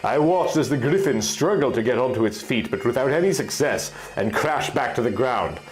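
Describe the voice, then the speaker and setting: A man reading scripted fantasy fiction as an expressive story narrator. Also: mid-range voice, moderate pace.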